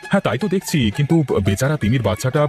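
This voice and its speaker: An exclamatory village farmer from a cartoon folk tale, acted by a man. He talks rapidly at an ordinary male pitch, with faint music behind him. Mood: concerned. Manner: expressive, exclamatory. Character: village men: practical farmer husband, frightened neighbours. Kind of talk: cartoon voice acting.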